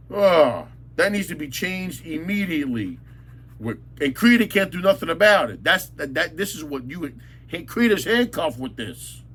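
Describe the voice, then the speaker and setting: A man's voice: a little high, fairly bright tone, slightly rough. A man ranting angrily about local matters, blunt and insulting, repeating words for emphasis. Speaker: local commentator. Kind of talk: angry ranting monologue.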